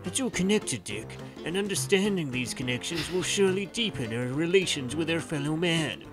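A man voicing an earnest, fatherly millionaire hero in a measured, didactic comic dub style. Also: a little high, expressive, music in the background, close to an everyday voice. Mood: patient, earnest. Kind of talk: comic dub character acting.

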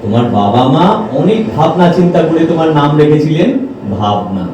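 A young man played by an actor with a high, widely swinging voice, teasing and coaxing his companion in an audio drama. The recording is very echoey. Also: sing-song, brisk. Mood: mock-offended. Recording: echoey, distant, noisy ambience.

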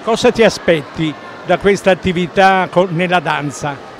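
A man with an unusually high voice asks direct, conversational questions of dancers after their performance. Faint noise hangs behind him in a large indoor sports hall. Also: normal speed. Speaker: interviewer. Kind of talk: event interview questions.

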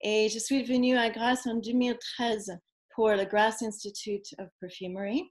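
A woman's voice: on the high side, level tone, a little slow. A woman in her fifties from Canada, a native English speaker with an English-Canadian accent, speaking French on a video call and often searching for words. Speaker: perfumer and aromatherapist. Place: room at home. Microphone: webcam on a video call.

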